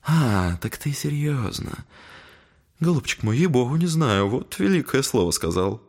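A shabby gentleman devil, chummy n teasing, medium pitch, audio play. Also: sing-song, measured pace, a little hiss or hum. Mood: playful, confiding. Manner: urbane, familiar, teasing. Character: genteel, shabby male devil, chatty and ingratiating. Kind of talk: dramatic audio play acting.

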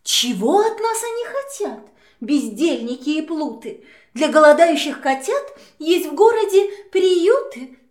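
Haughty wealthy cat for a children's audio play: high-pitched, unhurried, theatrical. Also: female voice, sing-song, darkish tone, reverberant. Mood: haughty, indignant. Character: haughty rich house cat lady, grandly hostess.

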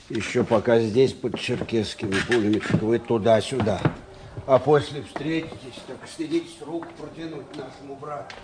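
An actor playing a proud, hurt old army staff captain in a radio play, gruff, brusque and bitter. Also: male voice, medium pitch, close to an everyday voice. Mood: wounded reproach. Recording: noisy old radio drama recording.

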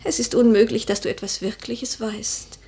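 A woman playing a wistful, proud noble widow in a German literary radio play, measured and poetic with hushed, breathy phrases. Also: fairly high pitch, fairly even intonation. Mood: melancholy, guarded.

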